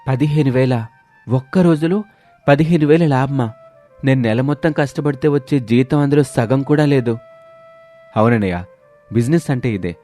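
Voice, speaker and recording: An earnest, grateful family man of a moral tale, acted out by a male narrator, speaks emphatically at medium pitch. Soft music runs underneath. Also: moderate pace, pauses often, natural voice. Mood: amazed.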